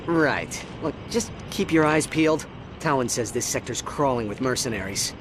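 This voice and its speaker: An actor playing a brave, easily exasperated young alien pilot in an animated video game, wry and earnest. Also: male voice, medium pitch, moderate pace, background noise, lightly acted. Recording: loud music behind the voice.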